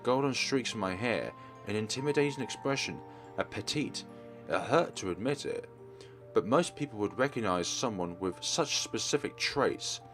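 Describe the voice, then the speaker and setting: Male let's player, mid-pitched, narrating game text over music. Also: lively intonation, normal speed, fairly bright tone. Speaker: let's play host reading a visual novel aloud. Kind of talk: reading game narration aloud.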